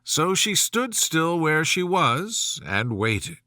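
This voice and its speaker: Slow, expressive male storybook narrator. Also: fairly high pitch, no room sound, clean studio sound. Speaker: audiobook narrator. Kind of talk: scripted bedtime story narration.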